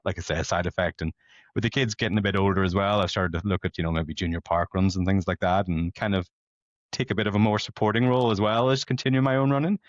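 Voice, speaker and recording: A man's voice: sits low, no echo. A man in his late thirties with a Northern Irish accent, chatting casually and ramblingly as a podcast guest. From Belfast, Northern Ireland. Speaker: podcast guest, amateur competitive runner. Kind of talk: casual conversational storytelling. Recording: dull lo-fi podcast audio.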